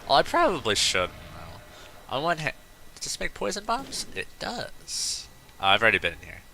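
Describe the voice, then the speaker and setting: A man's voice: medium pitch, huge pitch swings, moderate pace, creaky, sharp tone, slight background noise. A man hosting a let's play, chatting casually and rambling into off-topic asides while he plays. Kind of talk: casual gameplay commentary.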